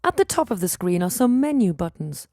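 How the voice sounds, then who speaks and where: A woman's voice: on the low side, big pitch swings, a little fast, dark tone, dry recording. Dry, close-recorded woman narrating game instructions.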